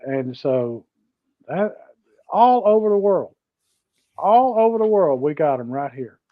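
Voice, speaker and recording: High-voiced Southern US man, dull dry audio. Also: very animated, measured pace, no room sound. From Georgia, United States. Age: middle-aged or older. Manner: folksy, repeats himself. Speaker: live show co-host. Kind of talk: casual livestream chat greeting viewers.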